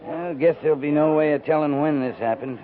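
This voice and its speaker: An American actor playing a seasoned, stoic cavalry captain in a 1950s radio western, speaking in a terse, dry, matter-of-fact way. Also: male voice, on the high side, fairly quick, crowd noise, lightly acted. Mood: terse, stern. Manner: clipped, dry, unsentimental authority. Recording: tinny band-limited 1950s radio recording.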